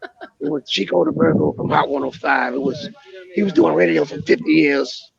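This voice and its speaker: Hoarse, gravelly comedian on a lo-fi call. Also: male voice, a little high, moderate pace. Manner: brash, crude teasing banter. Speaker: guest comedian. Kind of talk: casual podcast chat.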